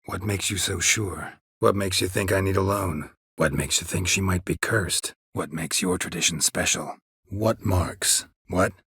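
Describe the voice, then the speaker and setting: Gruff middle-aged monster hunter, very husky rasp, low-pitched, terse, sardonic, stoic video game hero. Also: male voice, a little slow, no echo, exaggerated voice. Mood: dry, questioning. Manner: terse, clipped, deadpan. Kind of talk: video game character dialogue.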